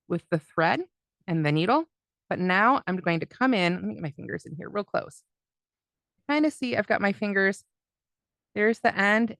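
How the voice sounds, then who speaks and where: A woman's voice: fairly low pitch, fairly bright tone, no echo. A woman teaching an online crochet class, walking through each step as she works with her hands in a friendly way. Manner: friendly, step-by-step, talks while demonstrating by hand. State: losing her voice, coughing. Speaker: crochet instructor. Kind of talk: live online craft class demonstration.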